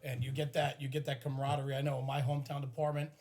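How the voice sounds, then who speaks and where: A man's voice: mid-range voice, flat delivery, racing, darkish tone. A man chatting casually and agreeably as a podcast interviewer. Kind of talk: casual chat.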